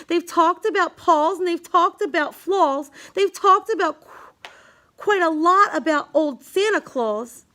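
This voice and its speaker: A woman's voice: very high-pitched, sharp tone. A woman reading a rhyming children's book aloud in an emphatic, sing-song storytelling manner.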